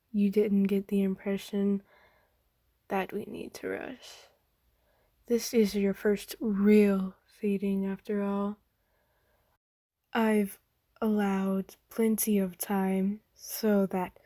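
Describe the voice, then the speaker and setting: A woman voicing a kind, aristocratic vampire owner in an ASMR roleplay, soft-spoken and half-whispering, with gently formal, coaxing words. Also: mid-range voice, no echo. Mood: tender, intimate.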